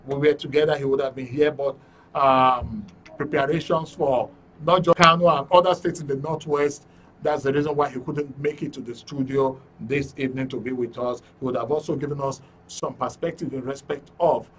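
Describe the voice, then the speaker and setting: A man's voice: on the high side, recorded from a distance, slight background noise. A man with a Nigerian accent hosting a live call-in talk show on radio and TV from a studio. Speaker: radio and TV host. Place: broadcast studio.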